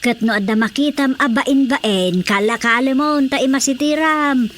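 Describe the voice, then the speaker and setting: A woman's voice: high voice, moderate pace, slight background noise, natural voice. An actress with an Ilocano accent playing a chatty, teasing village woman who loves gardening in a radio drama comedy. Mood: chatty, encouraging.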